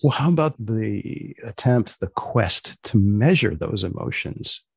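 A man hosting a comic science podcast in a dry, deliberate, deadpan way with mock-formal phrasing. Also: on the low side, creaky, slightly rough, no echo. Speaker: podcast host.

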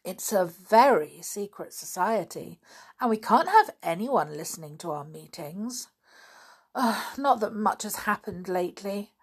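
A woman voicing an eager, excitable young schoolgirl in a children's storybook reading. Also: mid-range voice, measured pace, slightly rough. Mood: chatty, earnest. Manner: excitable, insistent. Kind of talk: children's storybook read aloud.

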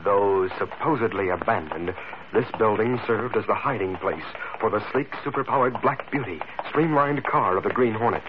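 A man's voice: lowish voice, moderate pace. A man giving formal, dramatic scripted narration in the manner of a 1940s radio drama. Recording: dull, noisy old radio recording.

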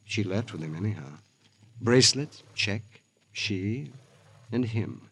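An actor playing a distraught, bitter American husband in a 1950s radio drama, husky and agitated, repeating himself with emotion. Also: male voice, lowish voice, lively intonation, slow, sharp tone, a little hiss or hum, full character voice. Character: distraught, guilt-ridden, bitter husband of a murdered woman.

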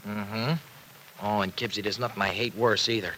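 An actor playing a breezy, grumbling young working-class wisecracker in an old radio soap, talking in folksy slang. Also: male voice, lowish voice, fairly even intonation, normal speed, sharp tone, poor audio. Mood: mock complaining. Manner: slangy, folksy wise-guy talk. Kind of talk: 1940s-50s radio soap opera acting. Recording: old radio transcription with faint noise.

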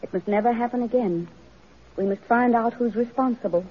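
A woman's voice: on the high side, fairly quick, husky. An actress playing a principled, determined woman social reformer in a radio drama, speaking earnestly and firmly. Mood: righteous, firm. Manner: earnest, firm, declarative. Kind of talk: vintage radio drama acting. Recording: muffled vintage radio, music behind.

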